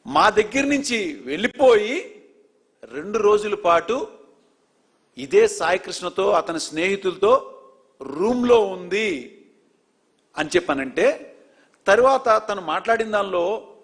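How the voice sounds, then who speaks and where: A male news presenter with a notably high voice, talking in an indignant, emphatic way. He keeps pressing with rhetorical questions as he comments on the story. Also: fairly bright tone.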